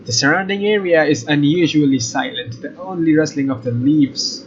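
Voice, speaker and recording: A young man with a fairly high voice reads a visual novel aloud as a let's play gamer. His delivery moves between narration and casual remarks. The recording is dull and lo-fi, with faint noise. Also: moderate pace.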